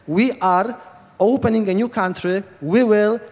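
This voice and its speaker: A man's voice: high voice, unhurried, long reverb tail. A man in his thirties with a Polish accent, giving a rambling, enthusiastic lightning talk into a handheld mic at a camp stage. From Poland. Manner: rambling, enthusiastic, many restarts. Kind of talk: informal conference talk. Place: large tent stage at hacker camp.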